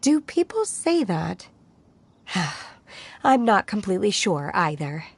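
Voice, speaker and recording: A woman voicing a calm, stoic young disciple of immortals in a video game, formal and measured. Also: medium pitch, vocal fry, husky, no echo, exaggerated voice. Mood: puzzled. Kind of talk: video game character acting. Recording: music playing behind.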